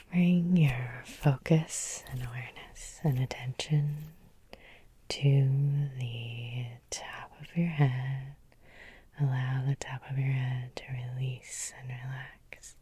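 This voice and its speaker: A woman leading an ASMR guided hypnosis in a breathy whisper, soothing and repetitive, with lingering pauses. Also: rumbling low, dark tone, dry recording. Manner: slow, soothing, repeating phrases with lingering pauses. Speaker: hypnotherapist and Reiki healer leading a guided meditation.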